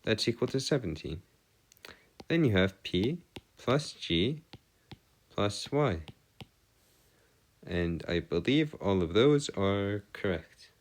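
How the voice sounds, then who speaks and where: A man giving a step-by-step math lesson as a tutor, halting and pausing to check himself, with formal, bookish wording. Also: on the low side, lively intonation. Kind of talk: lesson, working through equations step by step.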